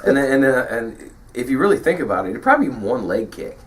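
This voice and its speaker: A man co-hosting a podcast in casual chat, laid-back and quick to agree, sometimes acting out what a fighter might say. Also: mid-range voice, sing-song. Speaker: podcast co-host. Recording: voice a bit far from the mic, faint hiss.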